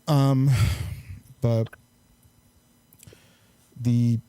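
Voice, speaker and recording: A man's voice: medium pitch, flat delivery, very slow, mellow, clean tone. A man hosting a casual chat and reviewing movies in a rambling, hesitant way. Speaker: host and amateur filmmaker.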